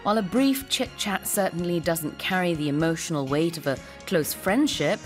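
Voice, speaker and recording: A woman's voice: fairly low pitch, lively intonation, music in the background. A woman hosting a morning radio show from a studio, reading out news items and chatting with a guest. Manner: brisk, reads the Korean parts much faster than the English. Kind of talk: bilingual morning radio talk.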